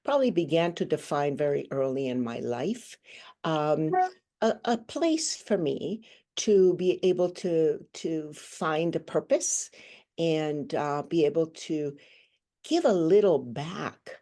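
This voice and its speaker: A woman in her sixties from Guatemala, giving a thoughtful, earnest interview answer over a video call and pausing often to search for words. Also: deep voice, animated, warm tone. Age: 60s. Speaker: guest, hand therapist.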